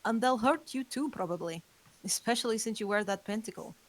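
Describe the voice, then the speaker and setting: A woman's voice: medium pitch, fairly quick, close to an everyday voice. A woman reading the part of a young female vampire who earnestly warns her roommate of danger, in a script table read. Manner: earnest, urgent warnings.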